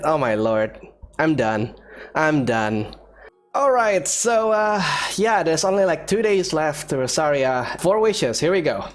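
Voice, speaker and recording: A man giving casual commentary as the host of a gameplay video. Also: fairly high pitch.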